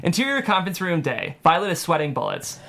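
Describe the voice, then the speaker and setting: A woman's voice: fairly low pitch, very animated, fast, gravelly, fairly bright tone. A woman reading scripted narration and stage directions at a comedy table read.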